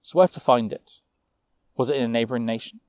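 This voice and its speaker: A man narrating a fantasy tale as a storyteller, with a measured, theatrical delivery. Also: medium pitch, darkish tone, no room sound.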